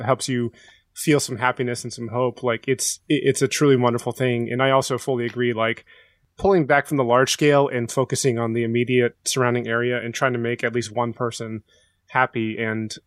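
A man's voice: mid-range voice, no echo. A man on a podcast panel talking reflectively and casually, with an earnest, affirming, thoughtful way of speaking.

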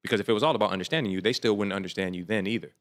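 A man in a casual discussion, thinking aloud in a reflective, measured way as he makes his points. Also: lowish voice, a bit bright.

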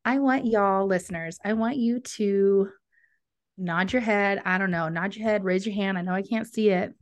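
A woman's voice: medium pitch, moderate pace. A woman co-hosting a podcast, chatting enthusiastically and speaking straight to her listeners. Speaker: podcast co-host. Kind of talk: casual podcast chat.

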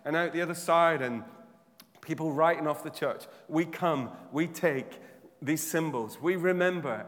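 A man preaching a sermon, turning from quiet reflection to loud, humorous exhortation. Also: a little high. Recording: long hall reverb.

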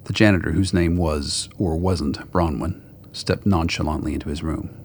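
A man with a gravelly voice reading scripted dramatic story narration. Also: extremely low pitch, moderate pace, slight background noise.

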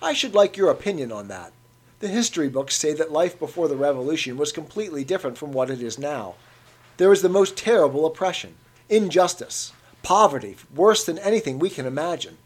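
A man voicing a cautious, earnest younger man who speaks tentatively and in a measured way. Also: on the high side, lively intonation, slightly rough.

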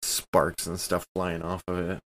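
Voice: sits low, completely flat delivery, measured pace, fairly bright tone. A player in a tabletop role-playing game, chatting casually and talking through a character's story.

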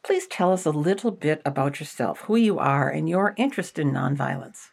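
A woman hosting an interview podcast, introducing and questioning in a measured, polite manner. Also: low-pitched, animated, gravelly, a little mellow.

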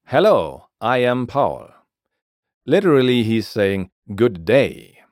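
A man presenting a scripted language lesson as teacher and host, speaking deliberately and repeating phrases for learners. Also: mid-range voice, big pitch swings, no echo, clean studio sound.

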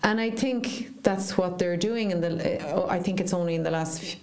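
A middle-aged Irish woman talks easily as a podcast guest, her voice sitting on the low side. She circles back and restarts her sentences as she thinks aloud. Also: moderate pace, a crowd in the background. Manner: chatty, trails off and restarts mid-thought. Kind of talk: casual conversation.

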